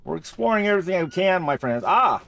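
Male gamer for casual playthrough commentary: lively swooping pitch, fry in the voice, jokey and rambling. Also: quick pace, no echo. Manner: rambling, jokey, repeats himself.

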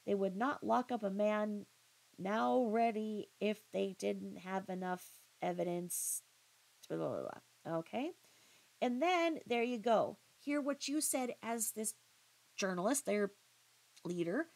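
A woman giving critical reaction commentary in a pointed, halting, questioning manner. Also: medium pitch, lively intonation, creaky, darkish tone, rough recording. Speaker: commentary vlogger.

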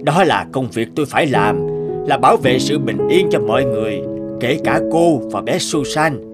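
An actor playing a kindly older policeman in a cartoon, speaking in an earnest, measured and principled way. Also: medium pitch, animated, over loud music, close to an everyday voice. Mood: earnest, firm. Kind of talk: animated cartoon dubbing.

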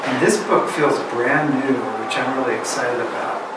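A middle-aged man reading a picture book aloud to a class of children and asking them questions, in a slow, expressive storybook manner. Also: mid-range voice, heavy background noise. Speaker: guest reader. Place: elementary school classroom. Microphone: far camera. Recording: echoey classroom from far off.

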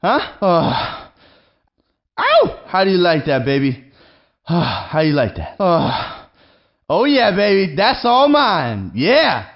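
A man's voice: sits high, wildly swooping pitch, slow, dull audio, heavily acted. A man playing a cocky, self-assured young snowboard racer in a video game, in short exclamations. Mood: cocky, elated. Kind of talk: video game character barks.

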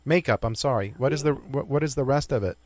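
A man hosting a radio interview who asks his questions in a formal, courteous and deliberate way. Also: mid-range voice, a little mellow, no room sound. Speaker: radio show host.

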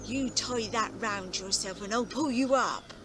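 A woman telling a story plays a kindly old farmer, flustered and fretful, tripping over his words as he worries aloud. His voice sits on the high side. The recording carries noticeable outdoor ambience and birdsong. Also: a little slow, background noise, close to an everyday voice. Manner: stammering, fretful, repeats himself.

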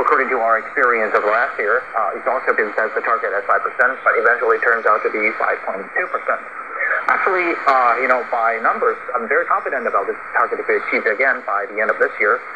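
A male analyst, not a native English speaker, answers interview questions at a middling pitch. The shortwave recording is dull, with clear static behind him. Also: fast. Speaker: economic analyst guest. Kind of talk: interview commentary. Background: radio static.

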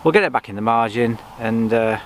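A man's voice: mid-range voice, fairly quick. Man, 30s, casual angler vlog, outdoor lakeside, light background noise. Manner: relaxed, chatty, talks himself through each step. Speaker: angler vlogger. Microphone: camera on the bank in front of him.

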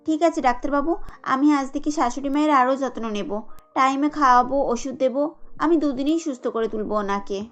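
Woman acting a very high-voiced dutiful young daughter-in-law. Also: a little fast, close to an everyday voice. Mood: caring, reassuring. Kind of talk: Bengali cartoon family drama acting.

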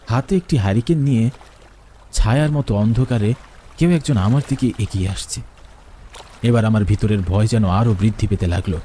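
A man's voice: on the low side, mellow, slight background noise. A man narrating a first-person horror story in a dramatic, suspenseful way.

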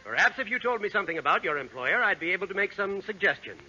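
A man's voice: sits high, big pitch swings, fast talker, sharp tone, muffled recording, slight background noise, exaggerated voice. A man playing an eager, polite department store clerk in a 1940s radio comedy, recorded with the muffle of vintage radio. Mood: helpful.

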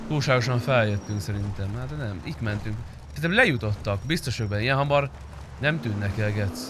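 A man's voice: fairly low pitch, expressive. Young male game streamer over car noise. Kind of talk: casual gameplay chat with friends. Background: game engine and tyre sounds.

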